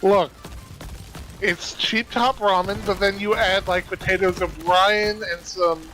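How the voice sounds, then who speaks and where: Bright teasing man on a stream. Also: high-pitched, steady tone, slow, slight background noise. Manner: teasing, playful. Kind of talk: casual gaming stream chat.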